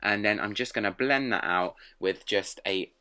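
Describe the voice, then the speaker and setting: A man's voice: on the low side, moderate pace, sharp tone, smooth. A young man with a sore throat, narrating a makeup tutorial step by step in a chatty way. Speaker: drag performer and makeup vlogger.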